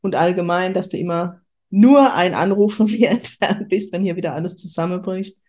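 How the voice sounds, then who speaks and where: A woman's voice: on the low side, moderate pace, dark tone. A woman from southwest Germany hosting a podcast, chatting spontaneously in an effusive, exclamatory way. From Kurpfalz, southwest Germany. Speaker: podcast host, spiritual medium. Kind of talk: casual, spontaneous podcast chat.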